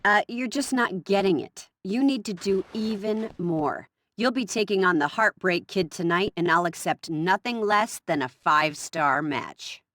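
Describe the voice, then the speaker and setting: Woman playing a sharp, demanding boss. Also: mid-range voice, no room sound, lightly acted. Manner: commanding, deliberate. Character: demanding female wrestling boss, authoritative and hard to please. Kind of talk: video game cutscene acting.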